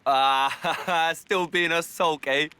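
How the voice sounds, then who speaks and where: An actor playing a cocky, cheerful young adventurer who teases in breezy slang in a video game. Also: male voice, on the high side, fairly even intonation, very slow, bright, forceful tone, clean tone, heavily acted. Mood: teasing. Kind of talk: video game cutscene acting. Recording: music behind the voice.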